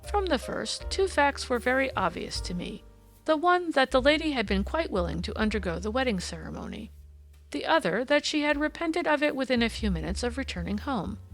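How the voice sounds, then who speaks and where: A woman voicing a brisk, courteous Victorian male detective in an audiobook, precise, formal and explanatory in manner. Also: very high-pitched, very animated, fairly bright tone, soft background music, heavily acted, low-quality recording. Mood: explanatory, assured.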